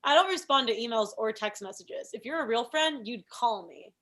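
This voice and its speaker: A woman's voice: fairly high pitch, expressive, moderate pace, a bit bright. A woman playing a breezy, shameless young hustler in a comedy table read, blunt and matter-of-fact.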